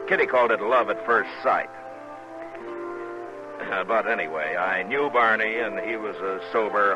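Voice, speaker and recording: A laconic, decent frontier marshal in a vintage radio western. His voice is deep and his manner dry, hesitant and unhurried. The broadcast sounds muffled. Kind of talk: 1950s radio western acting and narration.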